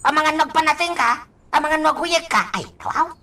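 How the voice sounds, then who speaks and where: A woman's voice: sits high, expressive, moderate pace, pushed and bright, heavily acted. A woman acting a folksy older character in a radio drama, drawling and chuckling, with a repeated catchphrase. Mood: hesitant, fretting.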